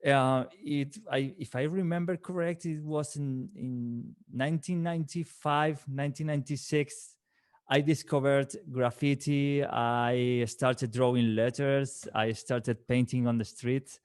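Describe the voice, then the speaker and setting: A man's voice: mid-range voice, steady tone, unhurried, a little mellow, smooth. A man in his late thirties from Valencia, Spain, a native Valencian speaker, talking as a guest in a casual interview, often restarting his words and laughing. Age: 30s. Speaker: guest calligrapher.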